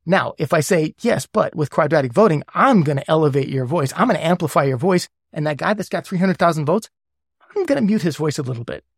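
A man being interviewed as a guest who explains ideas step by step with worked examples. He often checks in with a quick "right?". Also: fairly high pitch, animated, no echo, clean studio sound. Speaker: guest, governance lead.

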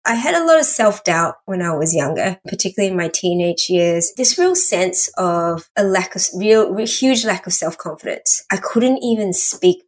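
Animated woman telling her life story. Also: fairly low pitch, normal speed, hardly any pauses. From Malaysia and Singapore. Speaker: podcast guest. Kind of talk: casual interview storytelling.

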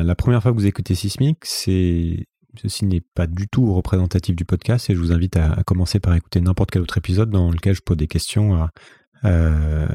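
A man's voice: low voice, dark tone, no echo, studio quality. A forty-year-old man from the Paris region with a Parisian French accent, speaking as a podcast host in a conversational, reflective solo monologue with a self-effacing manner. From France, Paris region.

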